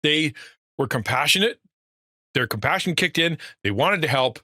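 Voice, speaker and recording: A man's voice: on the high side, animated, normal speed, pushed and bright, no room sound, clean studio sound. A middle-aged man, a pastor, talking earnestly and rambling on a livestream with a fatherly voice. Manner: earnest, rambling with restarts, reads chat aloud. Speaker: pastor and streamer. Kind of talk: casual livestream talk.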